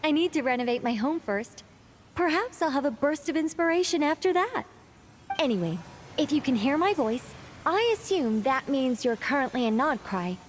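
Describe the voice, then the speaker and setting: A woman's voice: high-pitched, lively intonation, normal speed, a little hiss or hum. A woman voicing a whimsical, self-important female mage in a video game, theatrical, musing and grandly commanding. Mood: musing, polite.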